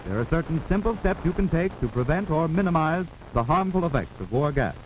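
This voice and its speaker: An American man narrating a 1940s instructional film in a formal, authoritative, measured way, on an old film soundtrack. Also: a little high, sing-song, background noise. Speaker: narrator of a wartime civil defense instructional film.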